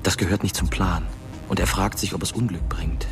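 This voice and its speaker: An actor playing a brooding young male narrator in a radio drama, speaking in a measured, intimate way. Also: deep voice, fairly even intonation, recorded from a distance, over music, close to an everyday voice. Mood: grave, wistful.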